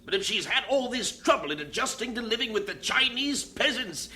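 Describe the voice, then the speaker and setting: Fretful, very bright-voiced missionary superintendent. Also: male voice, very high voice, lively intonation, a little fast, slight background noise, heavily acted. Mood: worried, exasperated. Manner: blunt, fussy, formal. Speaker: actor in an audio drama. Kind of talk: audio drama dialogue.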